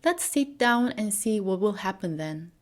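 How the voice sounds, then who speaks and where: A woman's voice: mid-range voice, animated, measured pace, natural voice. Woman reading as a gloomy, loving merchant father. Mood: grave, tender.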